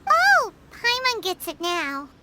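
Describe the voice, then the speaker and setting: A woman's voice: extremely high pitch, huge pitch swings, slow pace, sharp tone, a little hiss or hum, full character voice. A woman voicing a tiny childlike flying fairy companion in a video game, chirpy and blunt. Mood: curious, chirpy. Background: music.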